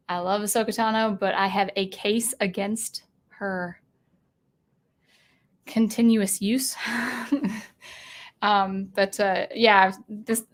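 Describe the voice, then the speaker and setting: A woman hosting a casual livestream chat, talking off the cuff with fillers and restarts, at a desk mic in a home studio. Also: mid-range voice. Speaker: livestream host.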